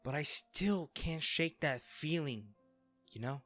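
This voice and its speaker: A man acting in an audio roleplay as an anxious, self-deprecating young man, a caring old crush who talks haltingly and intimately to the listener. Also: a little high, fairly bright tone, soft background music. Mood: upset, emotional. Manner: hesitant, halting, sighing.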